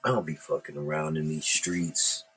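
A middle-aged man hosting his own podcast, talking in a slow, laid-back and profane ramble, musing aloud about whatever is on his mind. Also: rumbling low, steady tone, fairly bright tone, slightly rough, rough recording. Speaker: podcast host. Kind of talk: casual rambling monologue.

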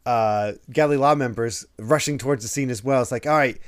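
A man of middle pitch hosts a relaxed discussion podcast, his voice slightly croaky as he gets over a cold. He chuckles between thoughts and slips into quick quoted voices when retelling scenes. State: croaky from a recent illness. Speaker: podcast host. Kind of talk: casual podcast chat.

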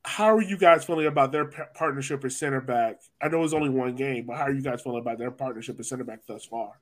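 A man hosting a sports podcast, talking soccer casually in an animated, informal way with jokey asides. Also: mid-range voice, quick pace. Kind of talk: casual soccer chat and analysis.

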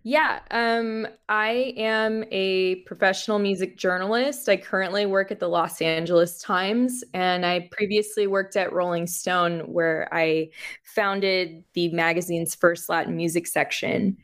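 A young American woman chatting as a guest on a podcast. She takes her time, speaking slowly at a medium pitch. Her delivery is informal and meandering, full of conversational detours. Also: fairly even intonation, fairly bright tone, clear, resonant tone. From United States (Florida). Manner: rambling, informal, frequent "like". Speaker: podcast guest, music journalist. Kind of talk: casual conversational interview.

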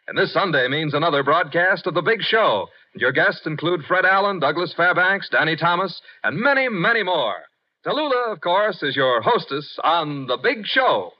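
A man's voice: on the high side, expressive, moderate pace. An American man announcing and narrating in a 1950s network radio style. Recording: dull vintage radio broadcast recording.

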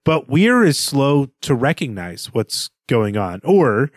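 Male literary podcast co-host, middle pitch, reflective, picks his words carefully, dry close recording. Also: big pitch swings, takes it slowly, no echo. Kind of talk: literary discussion.

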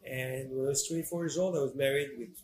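French-accented man, halting, in an echoey room. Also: medium pitch, steady tone, a little mellow. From Morocco and France. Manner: halting, repeats phrases. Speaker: fashion designer, interview guest. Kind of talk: conversational interview. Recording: echoey room with faint background noise.

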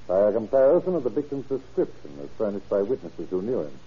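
A male sheriff testifying in an old radio play. He is formal and matter-of-fact, with a low, rough-edged voice. The sound is dull and dated. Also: very animated, fast, mellow. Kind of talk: 1930s radio drama acting.

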